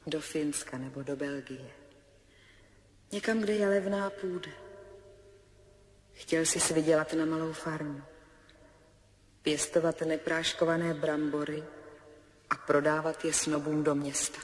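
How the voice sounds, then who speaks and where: A woman's voice: sits low, fairly even intonation, pauses often, a little hiss or hum, natural voice. An actress playing a wistful young woman in love, speaking intimately and insistently to her man in a 1970s radio drama. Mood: wistful, dreamy. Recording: music under the voice.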